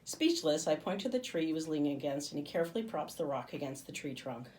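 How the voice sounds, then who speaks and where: A woman reading a children's story aloud as a teacher would, in an expressive narrating style. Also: low voice, fast, far from the mic, low-quality recording.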